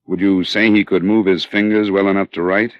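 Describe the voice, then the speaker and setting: A man acting as a hard-boiled radio detective with a low, deep voice. He is shrewd and clipped, pressing every point. The sound is dry, dull vintage radio. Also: level tone, a little fast, dry recording, lightly acted. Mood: probing. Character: steely male insurance investigator, shrewd, persistent, hard-boiled. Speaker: lead detective and narrator. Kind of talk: 1950s radio crime drama acting.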